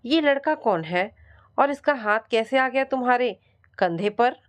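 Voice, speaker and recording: A woman's voice: fairly high pitch, lively intonation, measured pace, pitched above the performer's own voice. A woman storyteller voicing an arrogant, suspicious husband who speaks with accusing contempt. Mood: angry, accusing.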